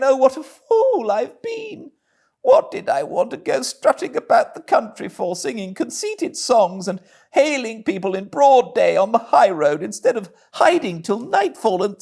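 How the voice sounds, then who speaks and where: A conceited storybook toad, super high wailing voice, melodramatic n sorry for himself. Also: male voice, vocal fry, a little mellow, exaggerated voice. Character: Toad, theatrically miserable, self-pitying conceited male animal.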